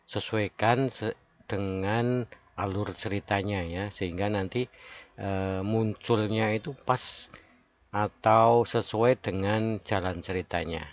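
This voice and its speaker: A man giving a step-by-step software tutorial as its presenter, recorded as a voice-over. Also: on the low side, fairly even intonation, takes it slowly, creaky. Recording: dull lo-fi voice-over.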